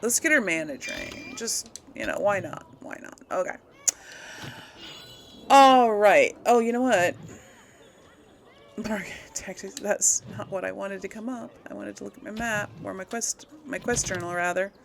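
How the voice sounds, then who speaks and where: A woman giving casual game commentary as she plays, musing and thinking out loud to her audience. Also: medium pitch, a little slow, vocal fry, slight background noise. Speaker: gamer, host of a role-play let's play.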